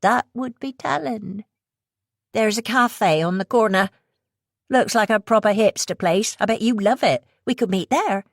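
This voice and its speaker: A woman's voice: mid-range voice, gravelly, dry recording, studio quality, pitched above the performer's own voice. A woman voicing a pushy, scheming woman with a heavy West Country accent who talks in a rush with a subtly mocking tone. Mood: sly. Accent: West Country English. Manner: subtly mocking, rushed talk.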